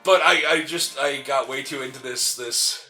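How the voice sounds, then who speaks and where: A man chatting casually as co-host of a game playthrough, telling stories in an animated way and acting out bits. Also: mid-range voice, normal speed. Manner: animated storytelling, acts out bits. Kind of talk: casual chat. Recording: game music behind the talk. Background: video game music.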